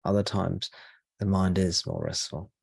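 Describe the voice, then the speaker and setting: Low, husky, creaky man guiding meditation. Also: level tone, dry recording, clean studio sound. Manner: measured, pausing between short phrases. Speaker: spiritual teacher leading a guided meditation. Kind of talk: slow guided meditation talk.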